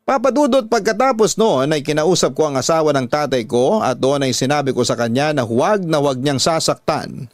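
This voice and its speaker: Higher-voiced Filipino man narrating drama. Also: no room sound, studio quality. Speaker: radio drama host and narrator. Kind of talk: scripted first-person story narration.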